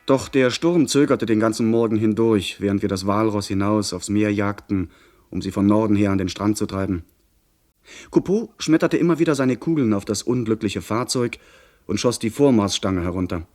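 An actor playing a young gentleman who narrates his sea adventure in a literary radio play style. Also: lowish voice, animated, normal speed, close to an everyday voice. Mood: narrating.

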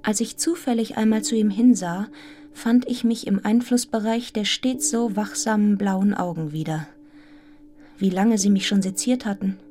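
A woman's voice: medium pitch, moderate pace, warm tone, faint music behind, natural voice. Introspective young governess, acted by a woman. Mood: reflective, grave. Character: Jane Eyre, serious, restrained young governess narrating her own story. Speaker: actor in a radio play. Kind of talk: literary radio drama narration and dialogue.

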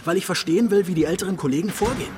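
A man's voice: sits high, rapid-fire, natural voice. A man playing an impatient young police trainee in a radio drama, defensive and sometimes hesitant before blurting things out. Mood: frustrated. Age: young adult. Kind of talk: naturalistic German radio drama dialogue. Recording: faint ambient noise behind.

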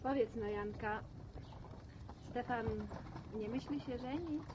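Radio serial role: meddling, chatty relative, high voice, slow delivery over clear animal ambience. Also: female voice, noisy background. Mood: inquisitive. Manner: chatty, inquisitive, interjecting. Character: lively meddling woman visiting her village relatives. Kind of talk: radio drama acting.

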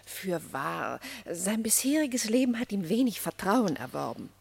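A woman's voice: mid-range voice, sing-song, unhurried, creaky, breathy edge, full character voice. A gracious, persuasive queen of the beasts declaims in radio-play verse. Her stately voice swoops expressively and rings with long reverb. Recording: reverberant studio sound.

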